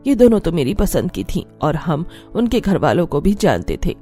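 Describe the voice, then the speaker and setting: A woman's voice: on the low side, very animated, moderate pace, mellow, soft background music. A woman voicing a stern but kindly older mother-in-law in a Hindi cartoon story. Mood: worried.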